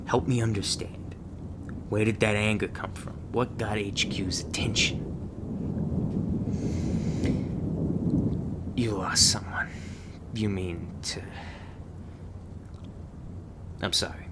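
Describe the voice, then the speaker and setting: A man playing a gruff, sarcastic, guarded monster hunter mentor in an ASMR audio roleplay. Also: lowish voice, fairly even intonation, moderate pace, background noise, close to an everyday voice. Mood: softening, earnest. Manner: foul-mouthed, sardonic, scornful.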